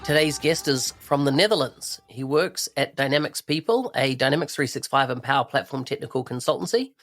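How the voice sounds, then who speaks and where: A man's voice: medium pitch, fairly bright tone. A man hosting a podcast, asking upbeat, friendly questions. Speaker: podcast host. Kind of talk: podcast interviewing.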